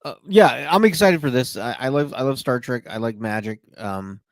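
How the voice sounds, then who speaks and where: A man's voice: medium pitch, normal speed, no echo, clean studio sound. A man co-hosting a podcast in casual chat, eagerly explaining how a card game works. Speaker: podcast co-host.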